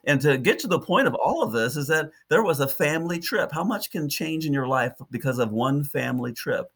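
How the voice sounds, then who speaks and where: A man's voice: on the high side, lively intonation, fairly quick, fairly bright tone. A man in his sixties from Detroit, a park ranger speaking as a podcast guest, telling his stories in a vivid, lyrical way with frequent restarts. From Detroit, United States. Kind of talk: reflective interview storytelling.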